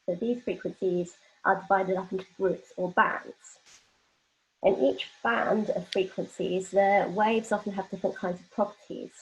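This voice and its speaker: A woman's voice: medium pitch, steady tone, normal speed. A woman presenting an explanatory workshop lecture, talking informally and illustrating points with everyday examples. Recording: dull, lo-fi band-limited audio.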